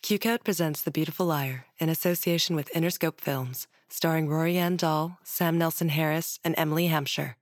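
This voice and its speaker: A woman's voice: sits low, steady tone, a little fast, few pauses, no echo, clean studio sound. A woman podcast announcer reading a scripted announcement.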